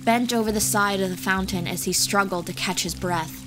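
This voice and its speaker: A woman's voice: medium pitch, normal speed, low-quality recording. Woman narrating fantasy over music. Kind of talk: scripted fantasy audiobook narration. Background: background music.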